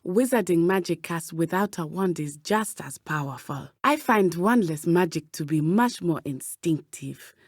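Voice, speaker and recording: Brave teen witch girl from a video game, lively pitch, careful formal wording, dry close sound. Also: no room sound. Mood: warm, earnest. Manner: precise, measured, formal diction. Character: earnest, brave teenage schoolgirl witch from Uganda. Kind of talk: video game character dialogue.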